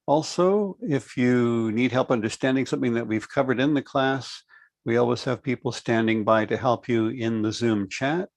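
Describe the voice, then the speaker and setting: A middle-aged man hosting an online meditation class over a video call, speaking calmly and in a measured way. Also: mid-range voice, no echo, clean studio sound. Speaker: host. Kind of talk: friendly online class introduction.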